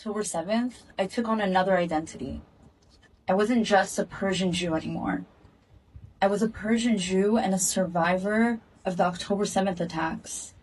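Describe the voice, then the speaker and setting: A woman's voice: mid-range voice, moderate pace, husky, recorded from a distance, rough recording. Earnest woman, a bit off-mic. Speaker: activist speaking in a video clip. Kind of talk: earnest direct-to-camera statement. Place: in Israel.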